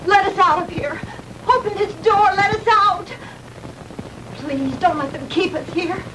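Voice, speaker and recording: A frantic young prisoner in 1960s B-movie melodrama. Her voice is extremely high, urgent and full of emotion. She sounds a little distant from the microphone, with clear old-soundtrack noise. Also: measured pace. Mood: desperate pleading. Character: frantic young woman held captive, pleading.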